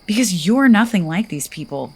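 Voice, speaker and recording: An actress playing a restless, impulsive young princess who confides breathily in an audio drama. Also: female voice, mid-range voice, expressive, moderate pace, breathy edge. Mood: confiding. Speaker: supporting character.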